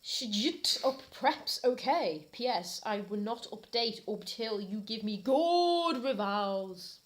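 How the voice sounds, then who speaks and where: Theatrical British woman, high and animated voice. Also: slow. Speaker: host and reader. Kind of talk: comic dramatic reading of fan fiction.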